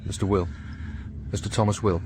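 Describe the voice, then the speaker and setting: A man's voice: fairly low pitch, fairly even intonation, fairly quick, noisy background, lightly acted. An actor playing a compassionate, earnest English clergyman in a radio drama. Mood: concerned. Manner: earnest, gentle but firm.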